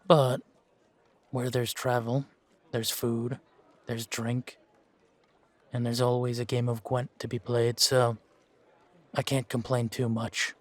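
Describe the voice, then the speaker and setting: Slow-talking, world-weary monster hunter for fantasy audio roleplay, male actor, flat delivery, laconic and musing. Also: mid-range voice, no echo, natural voice. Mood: wry, companionable. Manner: laconic, musing, measured with pauses. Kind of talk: fan-made roleplay audio drama, unhurried monologue to a companion.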